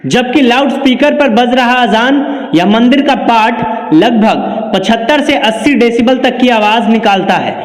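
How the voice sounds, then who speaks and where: A man's voice: very high voice, measured pace. A man presenting a scripted news explainer, narrating and commenting to the viewer. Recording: echoey, reverberant room.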